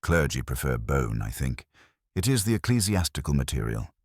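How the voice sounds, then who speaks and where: A man's voice: extremely low pitch, sing-song, moderate pace, a little mellow, dry recording, studio quality, exaggerated voice. A man voicing a prim, politely fussy woman in a mystery story, speaking precisely and genteelly. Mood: defensive, prim.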